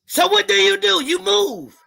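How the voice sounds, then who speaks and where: A woman telling stories in casual, slangy talk, with emphatic points and halting, repeated starts. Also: extremely high pitch, animated, creaky, pushed and bright. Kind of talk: casual chat, storytelling.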